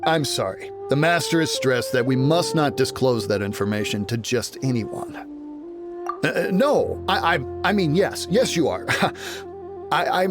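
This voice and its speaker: An officious, easily flustered guard. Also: male voice, medium pitch. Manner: formal, stammering when pressed. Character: servile male gatekeeper guard, officious but easily flustered. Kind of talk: dramatic video game acting. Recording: loud background game music.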